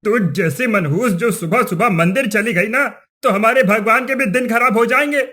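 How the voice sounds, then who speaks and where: A man's voice: high-pitched, moderate pace, bright, forceful tone. A man voicing a cruel, superstitious village headman in a cartoon story, scolding with contempt. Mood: impatient, threatening. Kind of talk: Hindi cartoon moral story dialogue.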